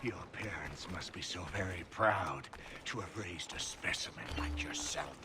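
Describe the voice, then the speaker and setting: An actor playing a proud, indignant gentleman held captive in a video game, haughty, emphatic and biting. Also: low voice, measured pace, background noise. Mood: bitter scorn. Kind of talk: video game cutscene acting.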